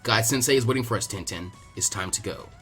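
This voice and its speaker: A man voicing a cool, arrogant young prodigy ninja in a story reading, condescending, measured and fatalistic. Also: lowish voice, fairly bright tone, soft background music, voice pushed down, close to an everyday voice. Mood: curt. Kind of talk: dramatic audiobook character acting.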